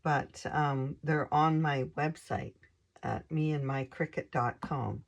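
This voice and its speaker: A hoarse woman hosting a craft channel, casually showing her scrapbook pages in an unhurried, hesitant way with lots of ums. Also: low-pitched, muffled recording.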